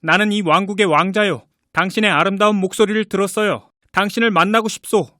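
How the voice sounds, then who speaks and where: A man's voice: high voice, steady tone, fairly quick, pushed and bright, no room sound. Man playing a confident young prince, dry recording. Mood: pleading. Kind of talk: children's fairy tale acting.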